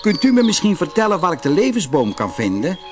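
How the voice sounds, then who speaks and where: A man's voice: high-pitched, wildly swooping pitch, brisk, music in the background, voice pushed up. A man voicing a polite, earnest little boy in a storybook fairy tale. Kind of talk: storybook character voice.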